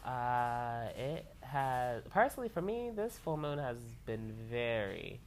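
A woman's voice: very deep voice, sing-song, slow pace, darkish tone, slight background noise. A woman hosting her own podcast in a loose, rambling chat at home, full of fillers and casual swearing, sounding a little stuffy from allergies. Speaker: podcast host. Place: home, laundry dryer running. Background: lo-fi music playing under the voice.

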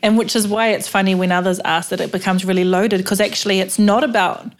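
A woman's voice: mid-range voice, steady tone, fast, a little hiss or hum. A female podcast host with a New Zealand accent and a mid-range voice. She speaks in the conversational manner of an interviewer who introduces and questions guests.

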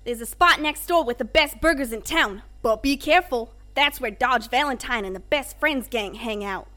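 A woman playing a brisk, chummy small-town garage mechanic with folksy, boastful slang in an amateur radio play. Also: on the high side, sharp tone.

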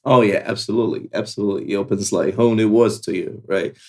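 A man's voice: fairly low pitch, quick pace. Young Brazilian man, easygoing filler-heavy talk. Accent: Brazilian Portuguese. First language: Portuguese. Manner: relaxed, leans on "like" and "you know" fillers. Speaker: podcast guest. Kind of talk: casual chat. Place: travelling in Morocco, talking over a call.

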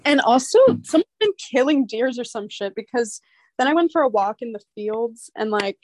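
A woman's voice: fairly high pitch, moderate pace, dry recording, low-quality recording. A young woman in casual chat, telling rambling stories with lots of fillers and a slightly nasal sound. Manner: rambling, animated storytelling with many fillers.

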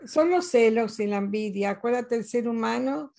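A woman's voice: a little high, moderate pace, creaky, warm tone. An older Latin American woman talking in a casual conversation, earnest and reflective, telling personal stories. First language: Spanish.

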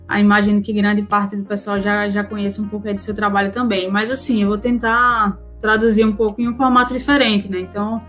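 A woman's voice: medium pitch, flat delivery, fairly quick, hardly any pauses, fairly bright tone, soft background music. A woman with a Northeastern Brazilian accent chatting casually as an interview guest, informal and full of tag questions. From Recife, northeastern Brazil. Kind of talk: casual chat.

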